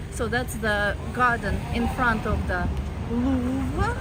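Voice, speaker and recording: A chatty woman on a busy street. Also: on the high side. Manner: chatty, reads out chat comments. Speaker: travel vlogger. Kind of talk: live-stream walking tour. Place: city street outdoors. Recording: street noise and traffic behind.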